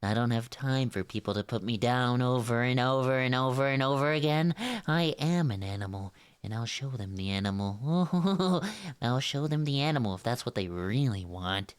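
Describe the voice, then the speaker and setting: A man's voice: mid-range voice, normal speed, clean tone, lightly acted. A man voicing an unhinged cartoon sea sponge, indignant and defiant, ranting obsessively. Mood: manic, defiant.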